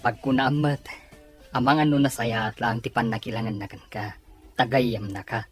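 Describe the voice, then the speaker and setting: A man playing an earnest young suitor who speaks gently in an audio drama. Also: medium pitch, fairly even intonation, normal speed, slightly rough, faint music behind, lightly acted.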